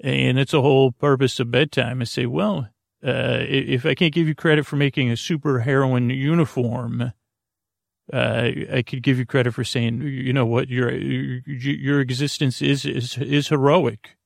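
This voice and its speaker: A man hosting a bedtime podcast, improvising a meandering, digressive monologue in a creaky voice, with halting stammers and repeated words. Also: mid-range voice, fairly even intonation, no echo, studio quality. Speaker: podcast host.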